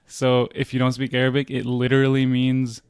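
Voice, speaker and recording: A man's voice: medium pitch, brisk, clear, resonant tone, no echo, clean studio sound. A young man from the San Francisco Bay Area, guest on a podcast, chatting casually with slang and easy laughs. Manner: relaxed, laughs easily, slangy. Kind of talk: casual chat.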